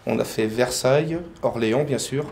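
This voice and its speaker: A man's voice: mid-range voice, moderate pace, gravelly, darkish tone, slight background noise. A man from France, a painter and decorator, answering interview questions hesitantly with many fillers.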